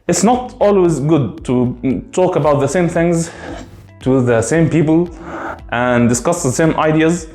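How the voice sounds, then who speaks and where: A man's voice: mid-range voice, roomy sound. A man in his thirties from Egypt with an Egyptian Arabic accent, Arabic his first language, ranting casually to camera as a gaming streamer, rambling with many fillers and restarts. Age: 30s.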